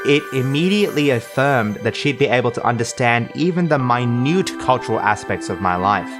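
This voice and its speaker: A young man telling scripted personal stories as a YouTube storyteller, expressive and self-deprecating. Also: medium pitch. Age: young adult. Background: background music.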